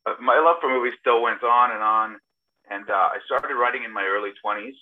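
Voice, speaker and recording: A man's voice: medium pitch, brisk. A middle-aged man from Serbia and Toronto, a screenwriter guest answering casually with personal anecdotes over an internet call. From born in Serbia, grew up partly in Toronto, Canada. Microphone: video call. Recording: thin, phone-like internet call audio.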